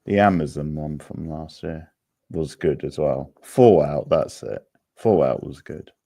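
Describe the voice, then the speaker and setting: A man hosting a livestream quiz, chatting casually in an unhurried, rambling way as he replies to viewers. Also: extremely low pitch, lively intonation, gravelly, dark tone, no room sound, clean studio sound.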